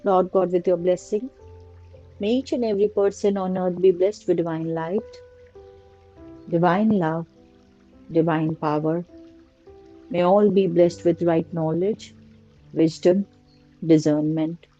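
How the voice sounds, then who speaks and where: A woman slowly guiding a prayer and meditation in a soft, repetitive litany of blessings. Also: fairly low pitch, steady tone. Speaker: meditation guide. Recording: dull lo-fi recording with faint music. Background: faint music.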